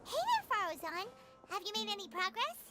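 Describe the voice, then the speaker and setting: Squeaky, very high childlike companion. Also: female voice, big pitch swings, fairly quick, pushed and bright, heavily acted. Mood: eager, curious. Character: chirpy, childlike little companion, upbeat and eager. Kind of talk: scripted video game dialogue.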